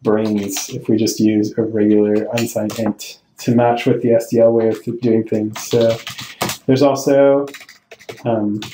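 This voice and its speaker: A man's voice: fairly low pitch, level tone, measured pace, mellow, reverberant, slight background noise, poor audio. A man teaching programming over a screen recording, thinking aloud and correcting himself as he explains each step. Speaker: programming tutorial host. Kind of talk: screen-recorded coding tutorial. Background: keyboard typing and clicks.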